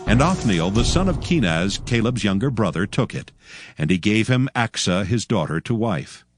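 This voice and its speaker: A man serves as narrator of a dramatized scripture reading. His low voice is solemn yet richly varied in pitch, and music plays clearly underneath. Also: expressive, moderate pace.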